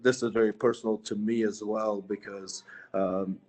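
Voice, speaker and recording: A man from Bosnia, a police sergeant, introducing himself at a virtual community meeting with many halting fillers. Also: lowish voice, little pitch movement, a little mellow.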